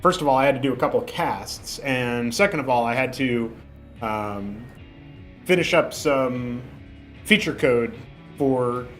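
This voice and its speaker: A young man chatting casually on a livestream, rambling with fillers and restarts, with a lingering cough. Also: medium pitch. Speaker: streamer.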